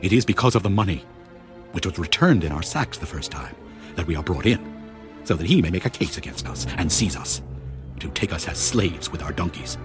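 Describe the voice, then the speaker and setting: An actor playing a fearful, suspicious brother in a Bible audio drama, speaking with anxious urgency. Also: male voice, deep voice, expressive, a little fast, over music. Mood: anxious dread.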